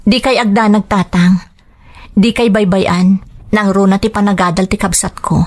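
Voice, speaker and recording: A woman's voice: medium pitch, fairly even intonation, normal speed, a little mellow, natural voice. A woman playing a dutiful but determined young working daughter in a radio drama, earnest and pleading, with an Ilocano Filipino accent. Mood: dutiful, reassuring.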